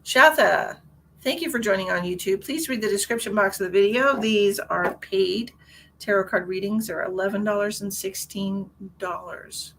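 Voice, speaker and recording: A woman hosts a casual livestream from her car, her voice bright and forward. She rambles in a chatty way and answers viewers' comments as they come in, sounding a little stuffy. A faint hiss of noise sits under her voice. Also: medium pitch, normal speed. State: stuffy nose. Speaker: tarot reader hosting a livestream.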